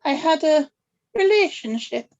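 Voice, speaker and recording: High-voiced, gentle older grandmother, played by an actress. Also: lively intonation, measured pace, lo-fi sound. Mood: firm, guarded. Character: older grandmother, gentle but secretive and firm. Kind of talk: scripted table-read acting.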